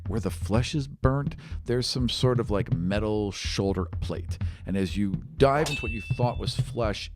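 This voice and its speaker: A man's voice: lowish voice, background noise. A man acting as game master, narrating a tabletop fantasy game with vivid, dramatic description and casual asides.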